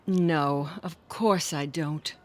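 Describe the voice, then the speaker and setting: A woman's voice: sits low, extremely slow. An actress playing an anxious, clinging mother of grown children in a radio drama, fretful, wounded and pleading. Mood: resigned.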